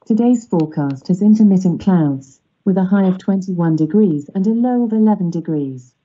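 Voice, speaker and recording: A synthetic woman's voice reading out as a smart speaker assistant, measured and impersonal. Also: lowish voice, expressive, warm tone, slightly rough. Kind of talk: synthetic forecast readout. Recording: dull smart speaker playback.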